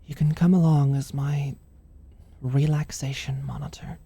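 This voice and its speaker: An actor playing a teasing, caring British office manager in an intimate ASMR roleplay, speaking softly and breathily with a dry manner. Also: medium pitch, steady tone, unhurried. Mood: dry, softly relenting. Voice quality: soft, breathy, often near-whispered. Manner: dry, gently teasing, solicitous.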